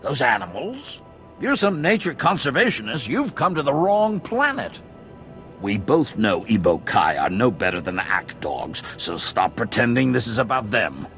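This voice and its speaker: An actor playing a pompous, blustering, self-important corporate administrator in a video game. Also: male voice, mid-range voice, big pitch swings, normal speed, a bit bright, faint music behind. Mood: indignant.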